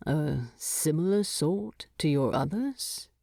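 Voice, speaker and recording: Woman voicing a gruff, surly male dwarf leader. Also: low-pitched, lively intonation, slow, mellow, no echo, studio quality, full character voice. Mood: gruff, restrained. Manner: curt, formal, restrained.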